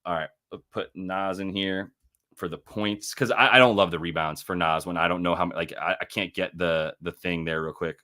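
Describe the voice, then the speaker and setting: Low-voiced man rambling through betting numbers. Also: steady tone, normal speed, pushed and bright. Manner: rambling, self-correcting, thinking aloud over numbers. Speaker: sports betting show host. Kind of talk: casual livestream chat.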